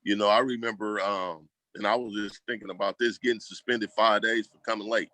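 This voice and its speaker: Low, bright-voiced man telling stories casually. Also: steady tone, fairly quick, rough recording. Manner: colloquial, slangy, acts out quoted remarks. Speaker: school program leader, guest. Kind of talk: casual conversational storytelling.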